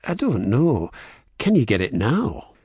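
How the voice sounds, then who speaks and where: Guy playing a wheedling con man, higher and swoopy, husky, storybook. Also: huge pitch swings, unhurried, darkish tone, no echo, studio quality, full character voice. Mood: sly haggling. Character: sly opportunistic male con artist, haggling.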